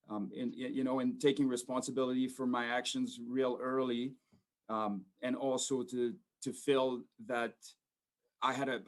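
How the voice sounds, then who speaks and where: A man in his forties speaking over a video call in an everyday mid-range voice. He talks informally to a school audience and rambles, with many fillers and restarts. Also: medium pitch. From Quebec, Canada. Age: 40s. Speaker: police sergeant major speaking to students.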